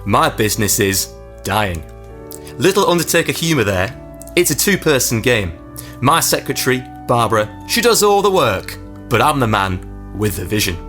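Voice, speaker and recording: An actor playing a vain, self-satisfied 1980s funeral director delivering a pompous, joking monologue as a comic radio advert. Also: male voice, mid-range voice, fairly bright tone.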